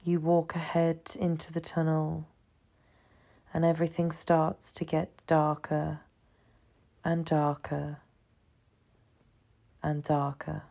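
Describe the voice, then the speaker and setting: A woman narrates a guided meditation in a soothing, hushed voice with a gravelly fry at the edges. Her delivery is very slow and evenly pitched, with long pauses between short phrases. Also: monotone.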